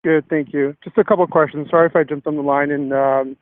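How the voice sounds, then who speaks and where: A male reporter asking informal questions over a phone line, halting and rephrasing as he goes. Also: on the high side, steady tone, no room sound. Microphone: phone on a conference call. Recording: thin telephone line.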